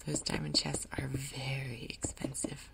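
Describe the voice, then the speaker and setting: A woman giving soft, breathy, whispered ASMR commentary on a game, murmuring her thoughts aloud in an unhurried way. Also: extremely low pitch, very animated. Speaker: gaming video host.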